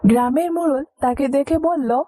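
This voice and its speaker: A woman's voice: high voice, normal speed, mellow, no echo. A woman narrating a scripted moral story for children as a storyteller.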